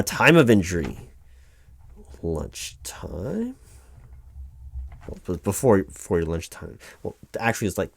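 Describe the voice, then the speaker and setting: A man's voice: low-pitched, a little mellow, no echo, exaggerated voice. A man playing a flustered, apologetic office clerk in a one-sided ASMR roleplay, hesitant and rambling as he corrects himself. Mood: businesslike, distracted. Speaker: claims paperwork interviewer.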